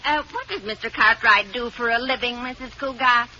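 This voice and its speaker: A wry, outspoken housemaid. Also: female voice, a little high, a little fast, sharp tone, slight background noise. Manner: dry, gossipy, plain-spoken. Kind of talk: 1940s radio comedy acting. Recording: dull old radio transcription.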